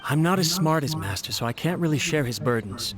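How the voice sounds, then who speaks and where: A man voicing a loyal, worried servant in a video game, earnest, deferential and quick to belittle himself. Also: fairly high pitch, expressive, lightly acted. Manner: earnest, self-deprecating. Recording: game music behind the voice. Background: game music.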